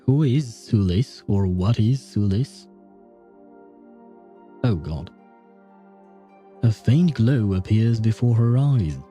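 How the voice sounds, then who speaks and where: A man reading a game's story aloud as a streamer, with measured narration and casual reactions. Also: on the low side, lively intonation, warm tone, soft background music.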